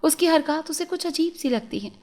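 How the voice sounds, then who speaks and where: High-voiced woman narrating a fairy tale. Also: animated, normal speed, darkish tone. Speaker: storyteller.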